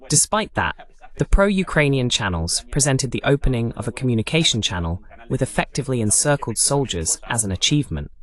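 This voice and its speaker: A man delivers live news commentary as a host. His pitch swings widely and animatedly from phrase to phrase, and he drops in pointed, sardonic asides as he explains. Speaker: host of a political commentary broadcast.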